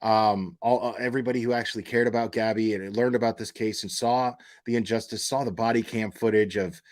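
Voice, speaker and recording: A man's voice: fairly low pitch, steady tone, fairly quick. A man hosting a casual true-crime livestream, blunt and opinionated, often repeating words and phrases as he works out his point. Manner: emphatic, opinionated, blunt with profanity. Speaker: livestream host.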